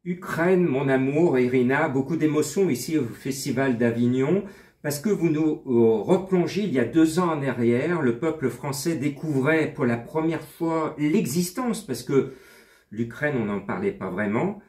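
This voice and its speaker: A man interviewing guests, asking lively, leading questions. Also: a little high, measured pace, roomy sound.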